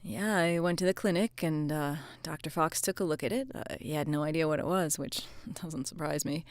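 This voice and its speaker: An actress plays a weary small-town policewoman with a slightly low voice. She is earnest and troubled, speaking haltingly and naturalistically, as in an audio drama. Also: dry recording, lightly acted. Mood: evasive. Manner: halting, hesitant, sighing, swearing.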